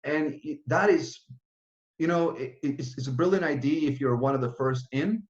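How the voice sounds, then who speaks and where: A man's voice: on the high side, a little mellow. Man in his thirties, roomy lo-fi sound. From Norway. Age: 30s. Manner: fast, run-on, with frequent restarts and fillers. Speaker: project founder presenting. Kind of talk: online presentation with Q and A.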